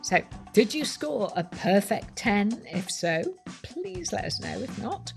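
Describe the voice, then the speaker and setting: A woman's voice: medium pitch, sing-song, slow pace, gravelly, loud music behind the voice. A woman with a British accent hosting a quiz podcast, reading scripted questions and answers to listeners.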